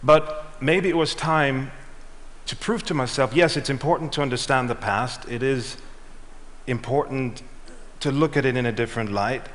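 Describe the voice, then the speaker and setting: A middle-aged man giving a prepared talk on stage, telling a measured story and letting his lines trail off. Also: medium pitch, a bit bright. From Switzerland and Ghana. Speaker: speaker. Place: large auditorium. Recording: reverberant hall.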